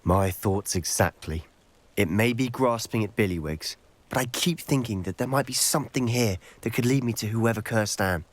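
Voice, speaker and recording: A man's voice: lowish voice, moderate pace, no room sound, natural voice. A young man with a British accent playing an earnest, stubborn teenage wizard in a video game cutscene, intense and wry. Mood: hopeful, pensive.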